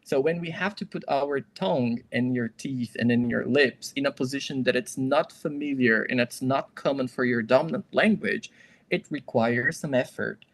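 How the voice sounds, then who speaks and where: A man takes part as the guest expert on a podcast. He speaks in a middle pitch and explains things patiently and step by step in a teacherly way, often turning to address the audience directly. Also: moderate pace, vocal fry. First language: Portuguese. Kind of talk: explanatory conversation.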